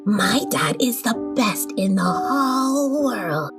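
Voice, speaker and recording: A woman's voice: fairly high pitch, animated, slow pace, over music, pitched above the performer's own voice. A woman voicing an eager, boastful little boy of six in a narrated horror story. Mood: proud boasting. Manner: eager, babbling. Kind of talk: acted dialogue in horror story.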